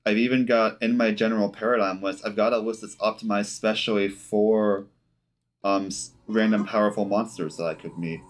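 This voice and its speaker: A man doing casual live game commentary, thinking aloud in a halting, self-correcting way as he talks to his chat. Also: fairly low pitch, steady tone. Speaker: game streamer. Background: game music.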